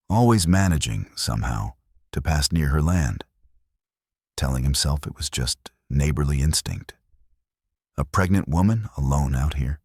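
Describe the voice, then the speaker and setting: A man reading scripted western romance narration in a measured, dramatic storytelling manner, with a gravelly voice. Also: extremely low pitch, no room sound, studio quality. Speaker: audiobook narrator.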